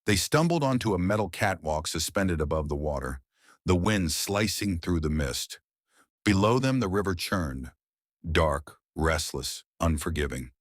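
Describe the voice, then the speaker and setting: Deep-voiced man narrating a thriller. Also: lively intonation, no echo, studio quality. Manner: dramatic, tense delivery with pauses. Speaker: audiobook narrator.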